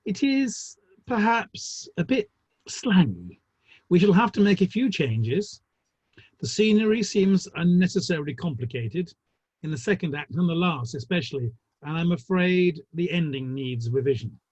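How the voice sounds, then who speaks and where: A man playing a measured, businesslike Victorian theatre manager with a dry, considered manner, in a dramatic reading. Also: on the high side, sing-song, a little mellow, breathy edge, heavily acted. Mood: dryly critical. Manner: dry, considered, tactfully critical. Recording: dull, roomy online-call audio.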